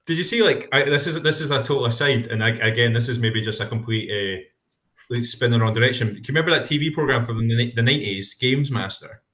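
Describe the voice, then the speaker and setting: A man's voice: medium pitch, fast, pushed and bright. A man co-hosting a podcast in casual chat over a video call, rambling through restarts and asides. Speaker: podcast co-host. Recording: echoey room.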